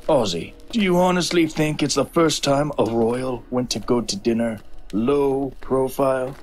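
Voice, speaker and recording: A man's voice: mid-range voice, unhurried, darkish tone, faint music behind, close to an everyday voice. A man playing an easygoing middle-aged king and father in an audio drama. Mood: amused.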